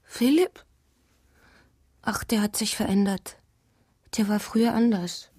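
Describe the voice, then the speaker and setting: An actress playing a defensive, wounded young widow under suspicion in a German radio crime drama, with a plaintive, emotional delivery. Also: female voice, medium pitch, slow, dark tone, husky, dry recording, studio quality.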